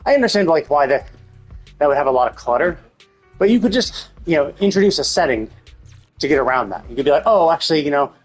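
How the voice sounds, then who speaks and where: Male streamer, somewhat high register, informal and sweary, talking through game opinions at length over faint music. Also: big pitch swings, fast talker. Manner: profane, informal; rambling, explanatory, opinionated. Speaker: live streamer. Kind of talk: casual game stream commentary.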